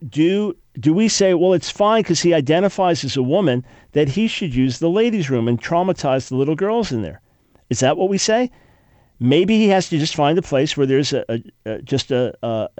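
A man's voice: a little high, darkish tone, no echo. An older man hosting call-in talk radio, sounding congested, arguing emphatically with frequent restarts. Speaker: radio talk show host.

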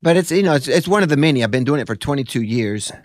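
A man's voice: medium pitch, lively intonation, fast talker, dry recording. American man, 40s, earnest radio guest, dry studio mic, loose rambling delivery. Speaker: guest, actor and evangelist. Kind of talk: casual interview talk.

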